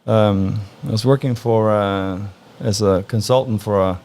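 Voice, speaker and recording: Gravelly low-voiced man, relaxed guest. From Norway. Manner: relaxed, thoughtful, frequent "uh" pauses. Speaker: interview guest. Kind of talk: casual interview answers.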